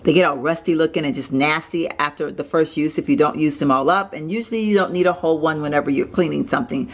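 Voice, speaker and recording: Raspy low-voiced woman rambling in a kitchen. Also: fast talker. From Texas. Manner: rambling, chatty, many fillers and self-corrections. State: raspy from allergies. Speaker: home organizing vlogger. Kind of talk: casual chatty home vlog. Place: home kitchen, indoors. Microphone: handheld camera mic, filming off screen.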